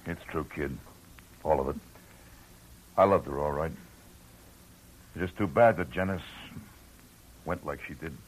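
A worn-down man with a very deep voice, slow and resigned. It comes from an old radio drama. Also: slight background noise, low-quality recording. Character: weary middle-aged man, grieving and resigned. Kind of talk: 1940s radio acting.

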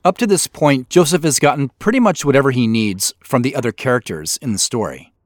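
A man's voice: medium pitch, lively intonation, fairly quick, no room sound, studio quality. A man narrating a director's commentary as host, explaining his creative work in a reflective way. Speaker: podcast host, writer and producer.

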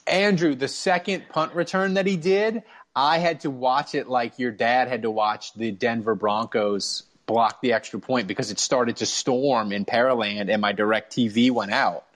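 A man hosting a sports podcast, telling stories as an excitable, casual fan who swears freely. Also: mid-range voice, unhurried. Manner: profane, excitable storytelling.

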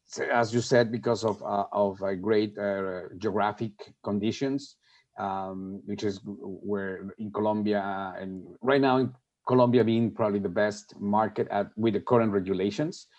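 A man from Mexico speaking as an interview guest, his fairly low voice coming through a dull, lo-fi line. He searches for words, restarting phrases and filling gaps with "uh" and "you know". The delivery is earnest and careful. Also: fairly even intonation, a little mellow. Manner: halting, many restarts and fillers. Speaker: guest, company executive. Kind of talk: interview answers.

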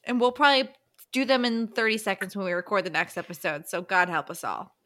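A woman's voice: medium pitch, fairly even intonation, brisk, a bit bright. A woman co-hosting a podcast with casual, playful, jokey banter. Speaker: podcast co-host.

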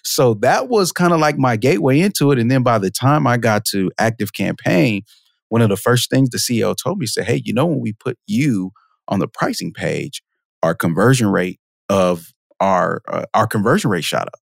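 A man guesting on a podcast, casually telling stories about his career, laughing often and jumping up in pitch for quoted reactions. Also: moderate pace, gravelly, dry recording. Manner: lively storyteller, jumps high for quoted reactions, laughs often. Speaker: podcast guest, marketing automation expert. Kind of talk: casual interview storytelling.

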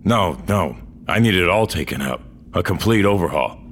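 Very deep, gruff cyborg. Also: male voice, lively intonation, normal speed, slight background noise. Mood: determined, curt. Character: world-weary, blunt old cyborg man with an aging augmented body. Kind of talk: audio drama dialogue and first-person narration.

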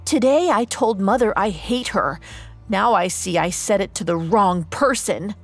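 A woman voicing a young girl who is frightened and furious at her father, speaking sharply and rising to shouts. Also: sits high, big pitch swings, measured pace, soft background music, pitched above the performer's own voice.

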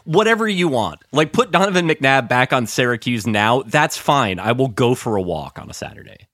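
A man's voice: mid-range voice, expressive, normal speed, a bit bright, no echo, studio quality. A man co-hosting a casual sports podcast, talking in a rambling, wry way with some swearing. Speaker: podcast co-host.

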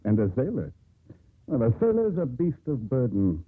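Scornful son, husky and animated. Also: male voice, medium pitch, normal speed. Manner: dismissive, mocking. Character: scornful landlubber son in his twenties or older. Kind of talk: 1950s radio drama acting. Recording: muffled, narrow-band old radio broadcast.

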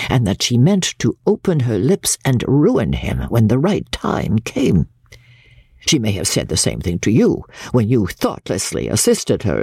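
A woman's voice: very deep voice, vocal fry, a little mellow, husky, no echo, clean studio sound, heavily acted. A woman reading classic literature dramatically as a prim, self-righteous older mother, pompous, genteel and indignant in manner.

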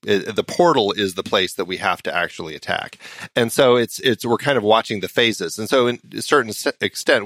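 A man's voice: fairly low pitch, fairly bright tone, dry recording. A man giving casual, analytical film commentary as a podcast co-host, explaining things and checking in as he goes.

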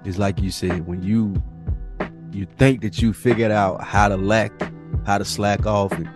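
A man co-hosting a casual podcast chat, animated and profane, his voice lifting into a sing-song when he gets worked up, with music underneath. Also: on the low side, unhurried. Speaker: podcast co-host.

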